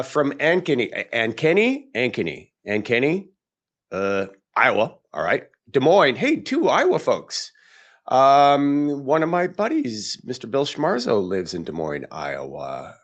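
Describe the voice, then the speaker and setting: A man hosting a live podcast, chatty and upbeat, his voice hoarse and raspy from a long week of talking. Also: mid-range voice, fairly bright tone. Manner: chatty, upbeat, greeting viewers. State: hoarse, tired voice. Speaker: podcast host.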